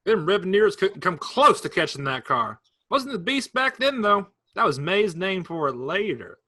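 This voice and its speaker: A man's voice: high-pitched, sing-song, unhurried, bright, forceful tone, heavily acted. A man playing an easygoing middle-aged farmer uncle who teases in a wry way. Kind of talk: staged play reading.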